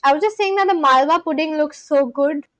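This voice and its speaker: A woman's voice: high voice, fairly quick, a bit bright, dry recording. A woman from India, chatting casually on a live stream at home and answering her chat aloud. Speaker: language-learning livestreamer. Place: at home at a laptop.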